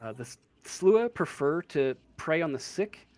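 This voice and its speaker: Slow, halting higher-voiced man game mastering. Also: big pitch swings, a little mellow, no echo. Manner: halting, searching for words as they describe. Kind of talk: improvised narration of a tabletop role-playing game.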